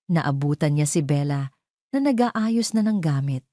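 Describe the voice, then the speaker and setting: A woman's voice: sits low, lively intonation, measured pace, mellow, no room sound, clean studio sound. A woman storyteller giving a dramatic scripted narration of a romance audio drama.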